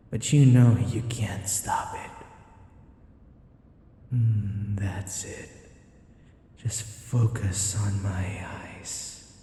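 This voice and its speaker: A possessive male vampire played by a man for an ASMR roleplay. He speaks very slowly in a dark, velvety-soft register, heavy with breath and often sinking into a whisper. His manner is teasing and hypnotic, coaxing his captive. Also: fairly low pitch, husky, heavily acted. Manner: slow, coaxing, taunting.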